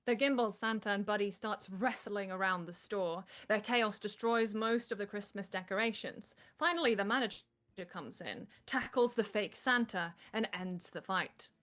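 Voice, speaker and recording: A woman's voice: medium pitch, moderate pace, fairly bright tone. A woman narrator reading screenplay stage directions aloud.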